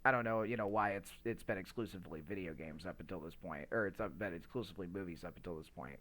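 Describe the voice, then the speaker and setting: A man's voice: low voice, fast, fairly bright tone. A man co-hosting a podcast in casual discussion, rambling and often stammering over repeated words as he works through his thoughts. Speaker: podcast co-host. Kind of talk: casual movie discussion chat.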